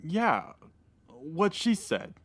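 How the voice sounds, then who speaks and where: A man playing a hungover, slow-witted murder suspect in a comedy radio drama, sluggish and laconic. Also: a little high, very animated, a bit bright, exaggerated voice.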